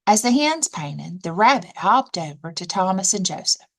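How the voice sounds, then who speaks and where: A woman librarian narrating a children's storybook aloud, deliberate and expressive, dwelling on action words. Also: mid-range voice.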